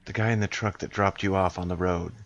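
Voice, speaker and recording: A man's voice: low voice, fairly even intonation, fast, highs cut off. A man improvising as a player in a tabletop roleplaying game, moving between in-character speech and game chat.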